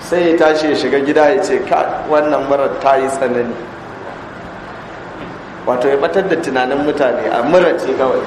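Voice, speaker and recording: A man with a Hausa accent, a Nigerian Islamic scholar teaching in a mosque, reading Arabic lines and then explaining them slowly. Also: mid-range voice. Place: large mosque hall. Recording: echoey hall recording with background noise.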